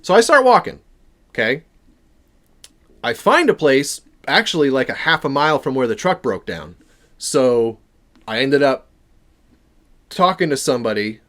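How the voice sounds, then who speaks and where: A man telling a personal story in a casual, animated way, acting out bits of dialogue as he goes. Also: mid-range voice, a little slow, long pauses. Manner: acts out dialogue within the story.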